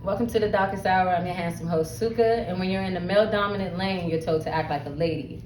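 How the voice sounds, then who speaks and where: A woman's voice: fairly low pitch, quick pace, heavy background noise. A woman hosting a casual interview, asking questions in an informal, slangy way. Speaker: interview host. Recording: roomy, distant mic, loud music behind.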